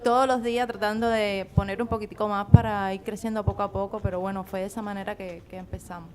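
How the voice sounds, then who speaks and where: Female panelist, average-pitched voice, informal personal account, lightly noisy live room. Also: steady tone, fast, a little mellow. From Cuba. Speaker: entrepreneur on a panel.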